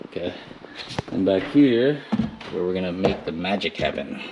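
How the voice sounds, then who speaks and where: A man's voice: low-pitched, animated, moderate pace. A man giving an informal, off-the-cuff walkthrough as an installer hosting a job-site vlog, recorded indoors on a handheld camera. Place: large open living room with high ceiling, indoors. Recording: clearly noisy camera audio, clicks.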